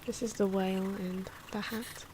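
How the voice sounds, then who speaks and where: Soft-spoken ASMR woman, often whispering, noisy background. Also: medium pitch, level tone, normal speed. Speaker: host of an ASMR drawing channel. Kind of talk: ASMR, casual voice-over commentary on speed drawing. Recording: clear background noise from drawing sounds. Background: pen and marker scratching.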